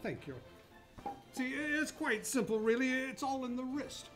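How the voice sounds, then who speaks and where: An actor playing a courteous, gently instructive older gentleman in a radio play. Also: very high-pitched, a little slow, faint music behind. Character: courteous, helpful older gentleman, Kris Kringle. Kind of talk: stage-style radio play acting.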